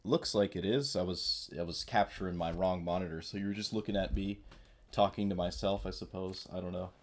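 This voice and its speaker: A man's voice: low-pitched, fairly even intonation, brisk. Congested man rambling over a card game. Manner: mumbling, rambling, self-deprecating. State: nasal from a cold. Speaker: streamer. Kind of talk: casual gameplay commentary.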